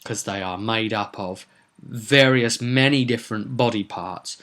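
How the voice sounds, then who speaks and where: A man's voice: fairly low pitch, a little slow, fairly bright tone. A man talking casually as a game master, recapping a roleplay session in a rambling, conversational way with some dramatic emphasis. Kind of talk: casual vlog recap to viewers.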